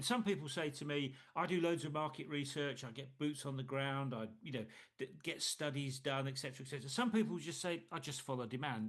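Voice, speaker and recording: A male interviewer with a slightly high voice, speaking on a remote call. He puts his questions in a loose, conversational style, as in a business chat. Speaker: interviewer, host.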